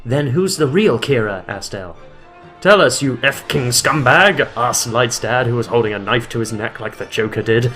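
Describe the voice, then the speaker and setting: A man giving a comedic dramatic reading of a bad fan fiction, with loud voiced quotes. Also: mid-range voice, moderate pace, soft background music. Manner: reads deadpan, breaks into laughter, voices quotes loudly.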